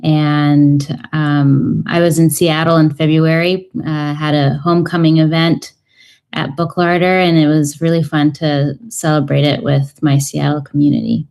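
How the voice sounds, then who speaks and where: Woman, 30s, low pitch, radio guest, thoughtful, halting with fillers. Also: flat delivery, creaky, a little mellow. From United States. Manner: thoughtful, with many ums and uhs. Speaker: cookbook author guest. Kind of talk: radio interview.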